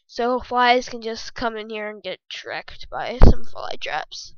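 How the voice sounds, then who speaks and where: A young woman with a squeaky voice hosting a casual gaming podcast from her bedroom, rambling and joking as she talks. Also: lively intonation, slow, clean tone, no echo, lo-fi sound. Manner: rambling, jokey, self-correcting. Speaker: podcast host.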